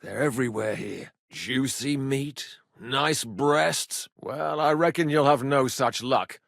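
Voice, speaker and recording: An actor playing a cheeky, good-humored medieval rogue and loyal younger brother in a video game, with colloquial, irreverent banter. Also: male voice, mid-range voice, takes it slowly, creaky, fairly bright tone, no room sound, studio quality. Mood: easygoing banter. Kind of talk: video game dialogue acting.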